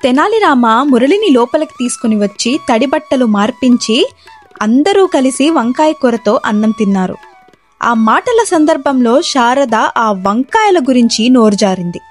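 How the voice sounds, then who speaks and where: A woman's voice: high-pitched, animated, measured pace, soft background music. A woman narrating a scripted moral story as a storyteller.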